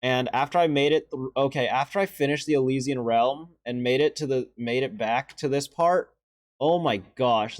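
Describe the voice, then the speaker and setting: Earnest young man, dry close sound. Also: dry recording. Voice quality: deeper voice. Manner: earnest, emotional, rambling with restarts and sighs. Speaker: video creator ranking animated shorts. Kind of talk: casual commentary.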